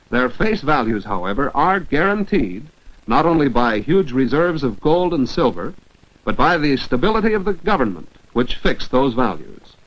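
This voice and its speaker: A man narrates a 1940s educational film in an American accent, on a dull old soundtrack. His pitch moves in lively sweeps as he instructs and enunciates each word precisely. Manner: didactic, rhetorical questions, precise enunciation.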